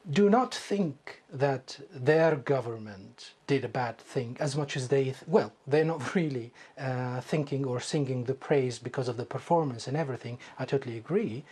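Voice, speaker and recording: A middle-aged Syrian man with a husky, rough-edged voice at a medium pitch. He speaks English with a Syrian Arabic accent, arguing his points as a guest in a TV political debate. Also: a little mellow. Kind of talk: political debate on a TV talk show.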